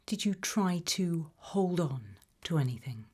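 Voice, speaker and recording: A British woman doing an ASMR roleplay interview, asking gentle questions in a soft, breathy whisper. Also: fairly low pitch, lively intonation, a little slow. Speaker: ASMR roleplay creator playing an investigator.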